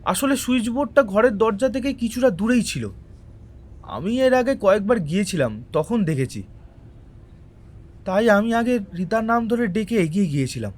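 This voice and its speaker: An actor playing a distressed man accused of murder in an audio drama, pleading and halting, nervously repeating his words. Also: sits high, expressive, a little mellow. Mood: defensive. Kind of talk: audio story drama acting.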